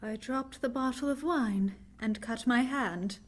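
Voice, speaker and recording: A woman's voice: fairly high pitch, unhurried. A woman acting an anxious, inquisitive and polite young lady in an old-time radio drama, with an emphatic, questioning delivery. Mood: frightened, insistent.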